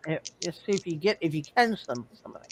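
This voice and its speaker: A game master walks a player through the summoning rules. The speech is halting, with frequent restarts, and the pitch sits in a middle range. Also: animated, noisy background. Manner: halting, restarts while explaining.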